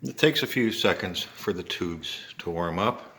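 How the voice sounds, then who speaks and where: An older man with an American accent giving a casual, halting show-and-tell narration in short phrases. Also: low-pitched, sharp tone. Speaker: home video maker showing an antique radio.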